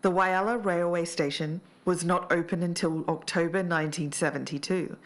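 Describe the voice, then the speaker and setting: Aussie woman podcast host, pretty low voice, reads out research then chats casually. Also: steady tone, normal speed. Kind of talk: scripted investigative podcast narration with casual banter.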